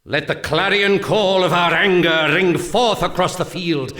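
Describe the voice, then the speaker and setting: Give the voice of a solemn alien elf commander, pitched on the high side for a male. He declaims in lofty, archaic phrases, rallying warriors with holy zeal in a strategy game briefing. The sound carries a roomy echo. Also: a little slow, pushed and bright. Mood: stern, rousing. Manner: grandiose, archaic, declamatory oratory. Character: solemn, zealous alien elf war leader rallying his troops. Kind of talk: scripted dramatic game mission briefing.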